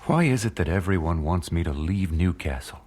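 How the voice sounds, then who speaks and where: A man's voice: sits low, animated, moderate pace, a little mellow, breathy edge. An actor playing an earnest, devout 19th-century family man, reflective, in a radio drama. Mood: hesitant, earnest. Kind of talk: radio drama narration and dialogue.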